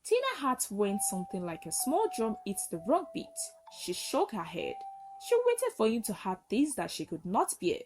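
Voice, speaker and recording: A woman narrating a folktale as a storyteller, with earnest, expressive and dramatic delivery. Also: medium pitch, normal speed, slight background noise, poor audio.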